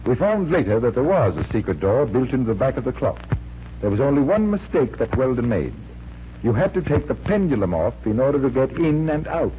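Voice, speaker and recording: A man's voice: medium pitch, big pitch swings, fairly quick, close to an everyday voice. An actor playing a confident, genial magician detective who explains things like an assured showman, in 1940s radio drama style. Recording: muffled, hissy 1940s radio recording.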